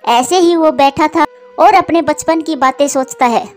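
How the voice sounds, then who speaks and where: A female storyteller with a high voice narrates a scripted children's cartoon tale. Soft music runs underneath her reading. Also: measured pace.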